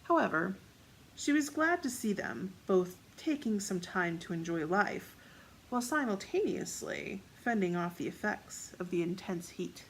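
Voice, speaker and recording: A woman's voice: medium pitch, animated, measured pace, slight background noise, low-quality recording. A woman reading scripted fiction as the narrator of a story.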